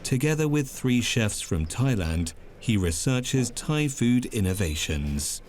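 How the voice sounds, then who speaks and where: A man narrating a documentary in a calm, measured, scripted way. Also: medium pitch, slight background noise. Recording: music underneath.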